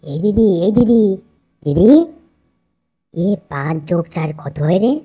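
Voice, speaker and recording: A woman's voice: fairly low pitch, lively intonation, a little slow, mellow, husky, heavily acted. A woman voicing a cheeky, boastful young village boy in a children's puppet story. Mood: sly, pleading. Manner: boastful, playful.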